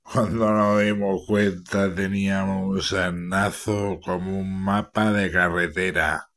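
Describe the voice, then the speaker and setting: Hombre andaluz que habla despacio. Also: male voice, sits low, little pitch movement, slow, fairly bright tone. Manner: laid-back, slangy storytelling. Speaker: band member recounting events. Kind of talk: documentary-style testimony.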